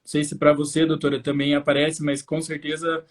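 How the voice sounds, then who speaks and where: Higher-pitched Brazilian man explaining clearly to parents. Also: completely flat delivery, a little fast. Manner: explanatory, fluent, addressing viewers directly. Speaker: host, infant osteopath. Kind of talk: live educational talk to parents.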